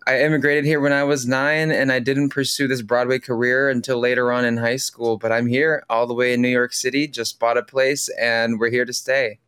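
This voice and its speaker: A man from the Philippines whose first language is Tagalog talks as a podcast guest in a friendly, chatty way, often restarting his sentences. His voice is smooth and clear, at an ordinary pitch for a man. The recording is dry, with no room sound. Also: flat delivery, moderate pace, few pauses, fairly bright tone, no room sound. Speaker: podcast guest, Broadway performer. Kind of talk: casual interview.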